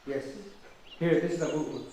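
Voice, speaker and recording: A man's voice: on the high side, moderate pace, a little mellow, close to an everyday voice. A man playing a kindly old forest king who tells stories in a puppet show. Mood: reminiscing. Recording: very echoey room, distant mic, faint noise.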